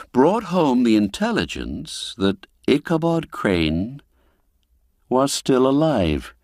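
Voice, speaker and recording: A man narrating a classic literary tale in a measured, dramatic storytelling manner, phrase by phrase. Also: lowish voice, no echo, clean studio sound. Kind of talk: scripted literary narration, audiobook reading.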